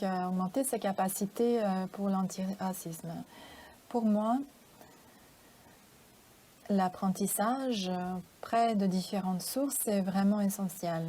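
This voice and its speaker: A woman speaking in a webinar panel discussion, slow and hesitant with many pauses and fillers. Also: mid-range voice, warm tone, a little hiss or hum. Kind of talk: slow, halting spoken discussion.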